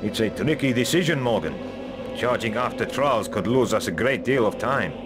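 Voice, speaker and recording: An actor playing a cautious, reproachful military lieutenant in a fantasy game. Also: male voice, mid-range voice, expressive, normal speed, natural voice. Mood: disapproving. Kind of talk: fantasy video game cutscene acting. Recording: loud music under the voice.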